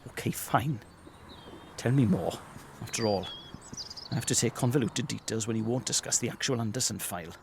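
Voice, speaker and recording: An actor playing a sarcastic, impatient detective chief inspector in a comic audio drama. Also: male voice, medium pitch, moderate pace, creaky, pauses often, noisy background, close to an everyday voice. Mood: grudging.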